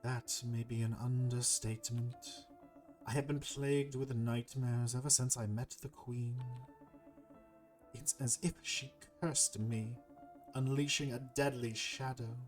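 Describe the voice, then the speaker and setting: A man voicing a grave, grateful serpent in a video game, slow, formal and solemn, with music behind. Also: medium pitch, fairly even intonation, lightly acted. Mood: troubled, grave. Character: grateful, grave ancient serpent plagued by nightmares. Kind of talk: amateur video game dialogue voice-over.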